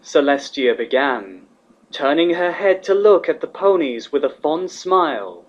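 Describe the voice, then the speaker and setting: A woman reading fan fiction as the narrator, in an expressive scripted storytelling style. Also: low-pitched, a little slow. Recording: slightly dull, muffled audio.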